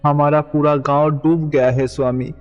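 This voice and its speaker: Man voicing an earnest, higher-pitched troubled sage. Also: level tone, moderate pace, clean tone, muffled recording, lightly acted. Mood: pleading. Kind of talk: animated devotional story dialogue. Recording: long reverb, music underneath.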